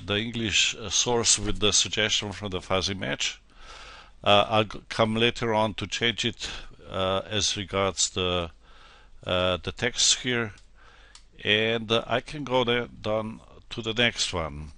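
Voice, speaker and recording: A man with a Slovenian accent, a native Slovenian speaker, giving an unscripted software tutorial in a halting, hesitant way. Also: fairly low pitch, dry recording. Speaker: translator demonstrating software.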